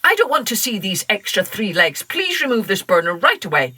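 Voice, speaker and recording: A woman voicing a gruff, bossy old male temple guardian in a children's story, booming and commanding. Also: very animated, fairly quick, pushed and bright, exaggerated voice. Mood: angry.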